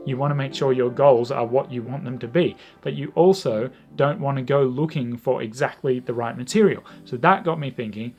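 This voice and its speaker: A man's voice: mid-range voice, normal speed, few pauses, darkish tone, lo-fi sound. Man, casual vlog talk, reflective, self-deprecating, faint guitar music behind. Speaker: language learning vlogger.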